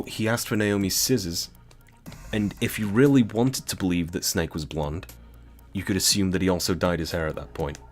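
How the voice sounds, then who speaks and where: A British man reading a game novel aloud and breaking off into casual, swearing commentary. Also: sits low. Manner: chatty, swearing, laughs at own jokes.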